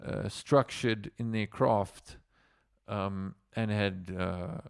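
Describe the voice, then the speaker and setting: South African musician, low gravelly voice, dry sound, loose rambling storyteller. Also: male voice, drawn-out words, no room sound. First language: Afrikaans. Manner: rambling, with many fillers and restarts. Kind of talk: casual livestream storytelling.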